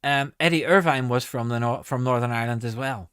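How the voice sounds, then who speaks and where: A man's voice: medium pitch, fairly quick, a bit bright, clear, resonant tone, no room sound, clean studio sound. A man hosting a casual live stream, reading viewer comments aloud and answering with many ums and restarts.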